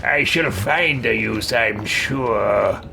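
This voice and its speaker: A man's voice: lowish voice, very slow, vocal fry, noisy background. A man giving casual live commentary as he plays a video game, thinking aloud in a wry way. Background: video game music.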